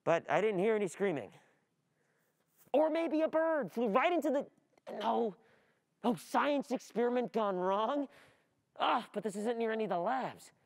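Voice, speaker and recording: A woman's voice: fairly high pitch, lively intonation, unhurried, vocal fry, pauses often. A young woman acting an anxious, overwhelmed, easily distracted student in an agitated, rambling theatrical monologue. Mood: restless, speculating. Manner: agitated, rambling inner monologue, repeating words. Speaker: actor playing a student. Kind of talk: theatrical radio-play monologue. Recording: long hall reverb of a stage.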